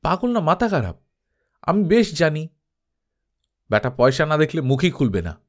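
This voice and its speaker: A man's voice: a little high, big pitch swings, moderate pace. A man voicing a brash, hot-tempered male adventurer, grumbling and defiant, in a dramatised audiobook. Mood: stubborn.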